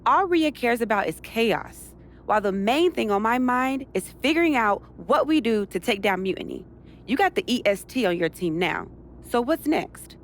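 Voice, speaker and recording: Animated, confident female wrestler. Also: fairly high pitch, moderate pace, a little hiss or hum. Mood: determined, indignant. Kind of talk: scripted game cutscene dialogue.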